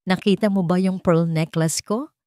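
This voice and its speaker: A woman's voice: lowish voice, steady tone, normal speed, warm tone, dry recording, studio quality, natural voice. A woman with a Filipino accent voicing an anxious, pampered young wife in a story. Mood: panicked.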